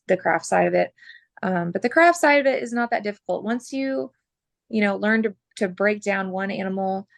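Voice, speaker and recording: A woman from Oklahoma, a butcher, talking frankly as a podcast guest while losing her voice and clearing her throat. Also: mid-range voice, no echo. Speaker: butcher and mobile processor owner.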